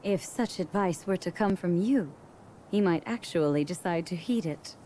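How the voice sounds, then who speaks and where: A woman playing a gentle, wise queen in a video game cutscene, composed and encouraging. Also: on the low side, moderate pace, a little hiss or hum, natural voice. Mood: gently encouraging.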